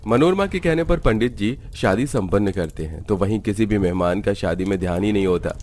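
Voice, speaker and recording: A man's voice: fairly low pitch, lively intonation, a little fast, a little mellow, a little hiss or hum. A man reading scripted narration for a family cartoon story.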